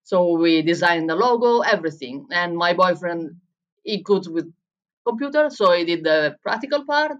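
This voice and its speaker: A woman from Italy with an Italian accent, answering interview questions as a barista and coffee coach, often pausing and restarting as she looks for words. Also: fairly low pitch, expressive, muffled recording. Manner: searching for words in English, frequent restarts.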